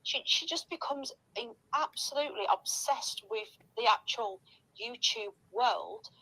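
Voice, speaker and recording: A woman's voice: sits high, sing-song, measured pace, vocal fry, pushed and bright, rough recording. A woman recounting gossip casually, sweary and indignant.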